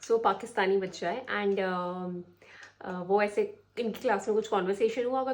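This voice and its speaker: Chatty mom vlogging. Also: female voice, medium pitch, a little mellow. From India. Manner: chatty, mixes in English phrases. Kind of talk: casual vlog narration.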